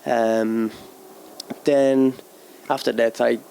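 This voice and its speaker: A young man talks about his life in casual interview answers. He speaks at an ordinary middle pitch, stops often to hunt for the right word and laughs easily. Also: flat delivery, creaky, dark tone, a little hiss or hum, poor audio. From Italy. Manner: halting, searching for words, laughs easily. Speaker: DJ and producer guest.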